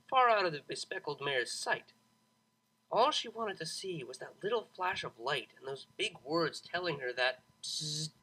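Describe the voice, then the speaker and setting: Animated, bright-voiced man narrating a story. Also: a little high, husky, low-quality recording. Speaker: narrator, fan fiction audiobook reader. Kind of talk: expressive scripted story narration.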